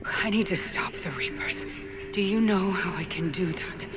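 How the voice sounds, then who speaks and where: A woman's voice: fairly low pitch, moderate pace, music in the background, natural voice. A woman playing a battle-worn, wary soldier hero in a video game, with terse, weary, questioning delivery. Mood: wary, challenging. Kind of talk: video game cutscene acting. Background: game score.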